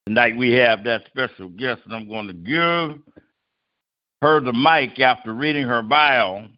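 A male host opens a spoken word night with rhythmic, announcer-style energy. His voice sits at an ordinary middle pitch and comes through a dull, lo-fi call-in line. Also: unhurried, dry recording. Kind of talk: hyped open mic radio hosting.

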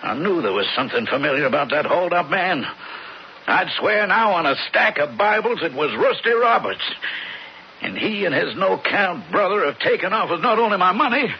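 An actor playing a hard, vengeful older rancher and father with an American Western accent, gruff and commanding, in a radio drama. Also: sits high, lively intonation, moderate pace. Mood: furious. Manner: gruff, commanding, folksy frontier talk. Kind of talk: 1970s radio drama acting. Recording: noisy, dull old radio broadcast.

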